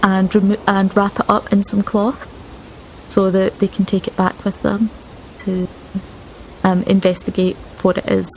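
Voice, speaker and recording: A woman's voice: mid-range voice, flat delivery, a little slow, long pauses, slight background noise, poor audio. A woman taking part as a player in a tabletop game, thinking aloud with hesitations. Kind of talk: casual tabletop play.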